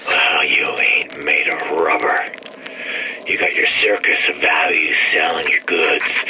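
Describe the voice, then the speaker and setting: An actor playing a bitter, combative man with a sardonic, menacing, colloquial manner in a game audio diary. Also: extremely low pitch. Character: bitter, combative male rival swearing revenge. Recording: noisy old audio-diary recording.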